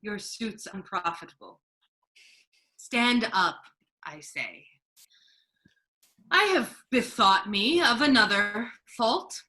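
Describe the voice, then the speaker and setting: A woman playing a stern, authoritative male duke in a measured, formal Shakespearean stage reading. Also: medium pitch, very animated, bright, forceful tone, exaggerated voice, poor audio. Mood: cool, authoritative.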